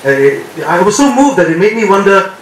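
A man's voice: sits high, very animated, a little fast, slight background noise. An older man, an actor on a panel after a screening, talking earnestly and bluntly about his views. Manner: earnest, outspoken. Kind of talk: post-screening Q&A answer. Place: screening room, seated. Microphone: handheld mic. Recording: very echoey room, distant.

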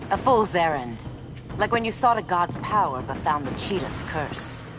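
An actress playing a proud, stern Amazon warrior princess in a video game, speaking formally and with command. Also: female voice, on the low side, sing-song, normal speed. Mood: stern scorn. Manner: formal, commanding, archaic phrasing. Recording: music behind the voice.

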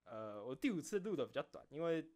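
A man's voice: fairly high pitch, very animated, fast talker, a little mellow. A man telling personal stories in a casual streamer's chat, rambling and often repeating phrases for emphasis. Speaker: online streamer and game content creator. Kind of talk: casual late-night chat, personal storytelling.

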